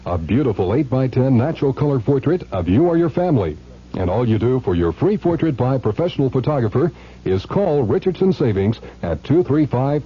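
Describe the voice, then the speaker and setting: Husky, animated male radio announcer. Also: medium pitch. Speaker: commercial announcer. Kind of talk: scripted vintage radio advertisement. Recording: muffled old radio broadcast recording.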